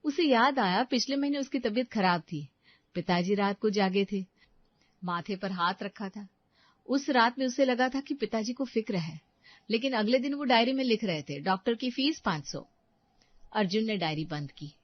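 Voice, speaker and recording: A female storyteller reads a scripted drama in a medium-pitched voice. She delivers it in short, deliberate phrases for dramatic weight. The sound is very dry and a little dull. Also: dry recording.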